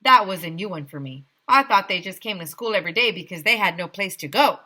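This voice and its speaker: A woman teacher reading a children's storybook aloud with expressive narration. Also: mid-range voice, fairly quick.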